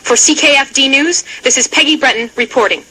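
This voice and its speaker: A woman's voice: sits high, fairly quick, sharp tone, rough recording. A woman playing an earnest radio reporter giving a formal, precise live report. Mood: earnest, urgent.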